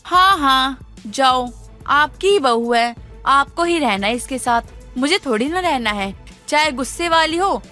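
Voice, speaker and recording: A woman playing a spiteful in-law who taunts sarcastically in an animated family story. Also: sits high, measured pace, soft background music, heavily acted. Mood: sneering. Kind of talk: animated family drama dialogue.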